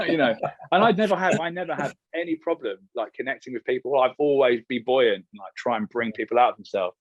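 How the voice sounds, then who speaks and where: British male radio presenter as interview guest, husky voice, candid casual chat, slightly dull roomy-free sound. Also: mid-range voice, fairly quick. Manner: chatty, candid, emphatic, acts out imagined remarks.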